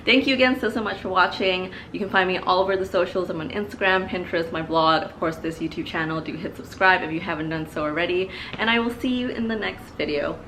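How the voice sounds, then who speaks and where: A woman's voice: lowish voice, fast, hardly any pauses, a bit bright, slight background noise, rough recording. A young woman in her twenties, a little stuffy from allergies, chatting casually through a makeup review in her living room. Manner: chatty, many fillers and repeated words. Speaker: beauty vlogger. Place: living room, seated before a couch.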